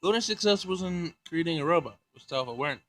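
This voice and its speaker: A man's voice: fairly high pitch, lively intonation, fast talker, a bit bright. A man hosting a gaming playthrough, reading a visual novel aloud and adding casual comments. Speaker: gaming channel host.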